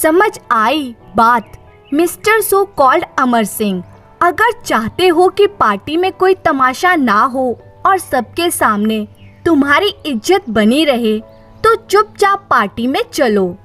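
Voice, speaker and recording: A woman's voice: high-pitched, very animated, takes it slowly, a bit bright, faint music behind, heavily acted. A woman voicing a wronged young wife in a story, bold and defiant, with cutting, emphatic delivery.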